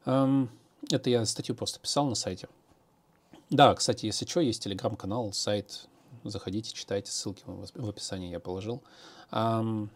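A man's voice: medium pitch, darkish tone. A man, a programmer, answering viewer questions in a casual, hesitant monologue with many fillers and restarts.

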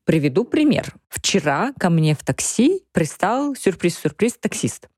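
A woman's voice: fairly low pitch, measured pace, no echo, studio quality. A woman co-hosting a podcast, chatting casually and telling stories with frequent laughter. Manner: lively storyteller, laughs often. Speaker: podcast co-host.

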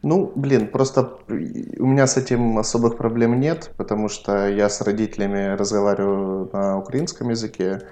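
A man from Ukraine speaks in a low voice as a podcast co-host. He explains his views in a reflective, storytelling way. His talk stays unhurried and conversational. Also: steady tone, warm tone. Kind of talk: casual chat.

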